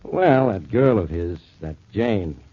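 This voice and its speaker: An actor playing a blunt, grudging police inspector in a 1940s radio detective drama, talking colloquially. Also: male voice, sits low, animated, takes it slowly, heavily acted. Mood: grudging, griping. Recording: dull lo-fi 1940s radio recording.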